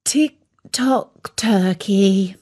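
A woman voicing a calm, patient female dog who explains things slowly. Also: on the high side.

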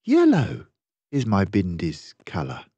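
Storyteller: older man, slightly husky and close-recorded, expressive, unhurried read-aloud for young children. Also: low-pitched, no room sound, dull audio. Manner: measured, deliberate, rhyming delivery. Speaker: storyteller reading a children's picture book.

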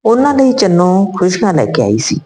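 A woman narrates a scripted story as a storyteller, reading deliberately in short phrases. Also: fairly low pitch, big pitch swings, dark tone. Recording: roomy, echoing voice recording.

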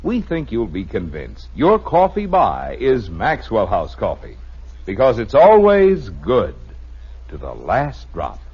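An American man with a husky voice delivering a 1950s radio advertising pitch as the commercial announcer. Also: huge pitch swings, takes it slowly, a little hiss or hum. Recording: muffled vintage radio broadcast.

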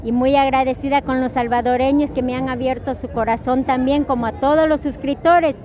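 A Guatemalan woman in her forties talking warmly in a street interview, giving thanks and sending greetings, recorded outdoors on a busy city square. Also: high-pitched, fairly even intonation, brisk. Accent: Guatemalan Spanish. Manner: warm, grateful, sending blessings and greetings. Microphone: clip-on mic, phone camera close. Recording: slightly dull sound, loud crowd behind. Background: crowd chatter.

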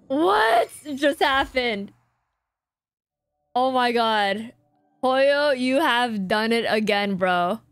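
Expressive high-voiced young woman streamer. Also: very slow, pauses often, no echo. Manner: emotional, exclamatory, slangy. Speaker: game streamer. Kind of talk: live reaction commentary. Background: game music.

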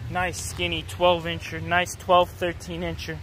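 A young man narrates his catches straight into a handheld phone beside a pond. His voice sits a bit high and stays fairly flat, relaxed and offhand. Faint outdoor traffic noise runs underneath. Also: measured pace. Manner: casual, upbeat, talking to viewers between catches. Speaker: fishing vlogger. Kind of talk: casual handheld fishing vlog. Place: outdoors at a city pond edge. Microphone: phone camera held in hand.

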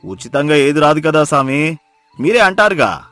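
A man playing a mocking forest hunter who drives a hard bargain, loud and taunting, in a moral story. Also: on the high side, big pitch swings, normal speed, no room sound, soft background music. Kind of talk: dramatized moral story acting.

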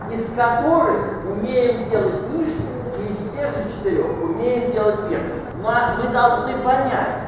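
An older man teaching at a blackboard, explaining step by step in an instructive way, with blunt corrections and short commands. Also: high voice. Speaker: mathematics teacher. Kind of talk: explaining a geometry problem at the blackboard. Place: classroom with a large blackboard. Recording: echoey hall, distant mic, noisy room.

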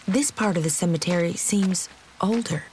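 A woman's voice: lowish voice, normal speed, a little mellow, a little hiss or hum, close to an everyday voice, rough recording. An actress playing a confused young woman left for dead, narrating her story in a casual, wry, hesitant way for an audio drama. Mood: wary. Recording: dramatic music behind the voice.

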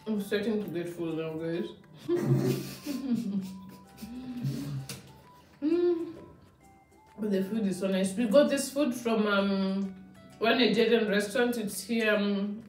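A woman's voice: mid-range voice, takes it slowly, pauses often, echoey room, recorded from a distance, soft background music, rough recording. A young woman, chatting casually and laughing as she tastes food at home. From Kenya. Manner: relaxed, chatty, laughs often. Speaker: vlogger. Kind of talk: casual food-tasting chat. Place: home dining room, seated at a table.

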